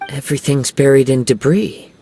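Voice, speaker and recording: Man acting a young wandering swordsman, creaky mid-pitched voice, calm, measured, formal, contemplative, video game. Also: background noise, natural voice. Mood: calm, grave. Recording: faint music behind the voice.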